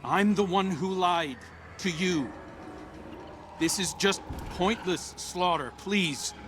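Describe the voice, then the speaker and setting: An actor playing a weary, guilt-ridden, earnest hero in a video game, with a hesitant, naturalistic delivery. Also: male voice, high voice, takes it slowly, background noise. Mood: pleading. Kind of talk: dramatic video game acting.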